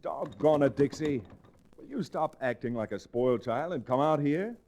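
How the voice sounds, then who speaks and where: An actor playing a level-headed, wry lawyer hero in an old-time radio western. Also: male voice, mid-range voice, lively intonation, moderate pace, a little mellow, a little hiss or hum, natural voice. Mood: exasperated. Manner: wry, reasoning.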